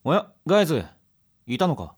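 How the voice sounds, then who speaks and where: A man's voice: fairly high pitch, big pitch swings, brisk, slight background noise. An actor playing a teasing, self-assured man in an audio drama, with a nonchalant, wry manner. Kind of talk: Japanese audio drama acting.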